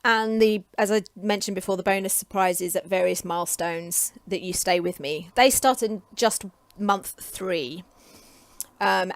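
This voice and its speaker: A woman in her forties from England talks casually to camera, chatty and enthusiastic with frequent ums, her voice getting hoarse. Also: mid-range voice, no room sound. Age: 40s. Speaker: creative coach and vlogger.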